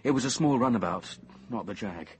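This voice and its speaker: An actor playing a brooding, obsessive young man who narrates his confession in measured, intense tones, at times near a whisper. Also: mid-range voice, animated, a little mellow, slight background noise, lightly acted. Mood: bitter. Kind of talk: radio drama first-person narration.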